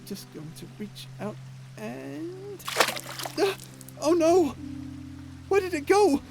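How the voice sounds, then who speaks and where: A performer voicing a shy, gentle and curious octopus traveller in an ASMR story roleplay, timid and stammering. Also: high-pitched, big pitch swings, slow, gravelly, faint music behind, exaggerated voice. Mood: curious, careful, then panicked.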